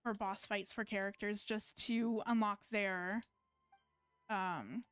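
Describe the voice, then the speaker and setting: A woman chatting casually on a live gaming stream, haltingly thinking aloud as she plays. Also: mid-range voice, steady tone, fairly bright tone, clean tone, no room sound, faint music behind. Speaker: game streamer.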